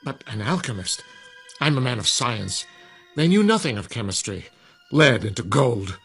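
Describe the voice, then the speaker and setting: An actor playing an old science professor in a radio drama, sceptical, proud and frightened, with a pointed, challenging manner. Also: male voice, mid-range voice, very animated, normal speed, creaky, fairly bright tone, breathy edge, exaggerated voice. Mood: incredulous scorn. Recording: radio drama with music underneath.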